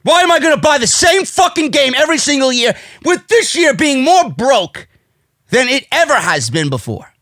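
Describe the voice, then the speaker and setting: A man's voice: very high-pitched, bright, forceful tone, no room sound, studio quality. A man with a New York accent delivering a brash, profane, indignant rant as an opinionated wrestling commentary host, hammering his points with emphasis. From United States.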